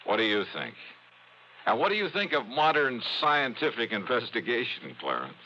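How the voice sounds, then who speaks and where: A man's voice: medium pitch, big pitch swings, moderate pace, pushed and bright. An actor playing a sardonic, confident American insurance investigator in a hardboiled golden age radio drama, probing as he talks. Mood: sardonic, pressing. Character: wry hardboiled male freelance insurance investigator. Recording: muffled, noisy old radio recording.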